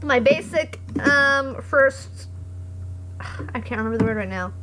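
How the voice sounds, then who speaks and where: A young woman streamer with a hoarse voice, chatting casually and reading comments aloud in a rambling, sarcastic way. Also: high-pitched, animated, a little slow, background noise. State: hoarse after voice acting. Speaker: live streamer.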